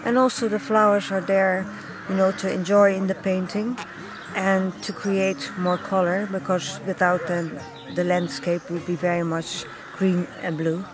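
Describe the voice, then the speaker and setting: An older woman from the Netherlands with a Dutch accent, calmly explaining her own paintings in her studio. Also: medium pitch, background noise. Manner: calm, measured, slightly non-native phrasing. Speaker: artist. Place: home art studio, indoors.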